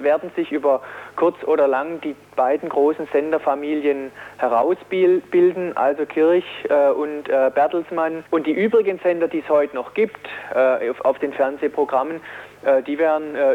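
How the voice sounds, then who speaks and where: Higher-voiced halting man, dull lo-fi audio. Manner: halting, frequent hesitations and repeated words. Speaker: Green politician, media policy spokesman. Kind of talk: political radio interview, spontaneous answers. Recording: dull band-limited radio interview audio.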